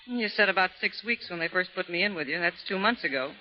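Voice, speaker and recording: A woman's voice: lowish voice, lively intonation, fast talker, a little hiss or hum, natural voice. An actress playing a tough, slangy female convict who shares a cell, in a vintage radio drama. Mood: gruff. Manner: hardboiled slangy, clipped. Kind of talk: 1940s radio acting. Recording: dull lo-fi old radio transcription.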